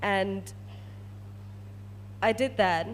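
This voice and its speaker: A woman's voice: medium pitch, extremely slow, slight background noise, low-quality recording. A woman from Malaysia, a research scientist, telling a personal story to an audience as a testimonial.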